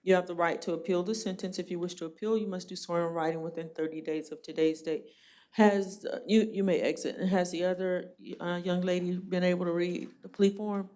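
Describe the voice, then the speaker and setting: A woman judge running a jail court hearing, brisk and plainspoken with a dry joking streak. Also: lowish voice, fairly even intonation, warm tone.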